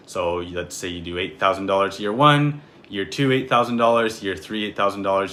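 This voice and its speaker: Bright-voiced young Canadian man explaining. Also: lowish voice, slight background noise. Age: 20s. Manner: clear, steady explaining. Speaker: real estate agent. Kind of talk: scripted explainer video.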